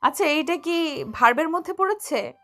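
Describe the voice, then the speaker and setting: অভিনয়ে এক নারী চড়া গলার শাসনপ্রিয় তরুণী আপু. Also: female voice, high-pitched, expressive, moderate pace. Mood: bossy, exasperated. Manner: scolding, bossy, teasing. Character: stern, teasing older-sister-like young woman tutor. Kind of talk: audio romance story acting.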